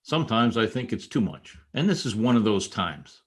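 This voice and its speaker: A man's voice: fairly low pitch, sing-song, normal speed, slightly rough. A man playing a stubborn, sarcastic newspaper reporter in an old-time radio comedy. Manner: argumentative, sarcastic.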